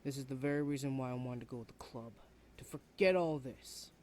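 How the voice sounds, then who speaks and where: A man playing a rude, defensive young university student in an intimate ASMR roleplay, talking in a sulky, halting way. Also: medium pitch, a little mellow, natural voice. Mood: resigned, sulky. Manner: sulky, halting, breathy sighs, swearing.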